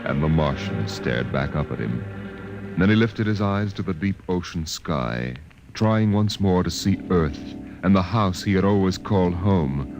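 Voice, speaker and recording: Solemn American narrator, low voice, grave storytelling, dull vintage radio sound with music under. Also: male voice, animated, a little slow. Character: solemn male storyteller of science fiction tales. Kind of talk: 1950s radio scripted narration. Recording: muffled old radio recording, music behind.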